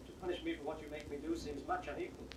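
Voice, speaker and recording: An actor playing a frightened servant bringing bad news in a classical Shakespeare audio drama, pleading urgently. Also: male voice, a little high, flat delivery, rapid-fire, distant mic, lightly acted. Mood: aggrieved, insistent. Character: frightened, protesting male messenger servant bearing bad news.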